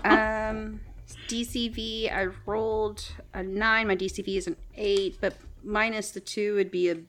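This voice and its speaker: A woman playing a tabletop game, chatty and quick to laugh. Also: mid-range voice, flat delivery. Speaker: player. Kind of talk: casual tabletop play.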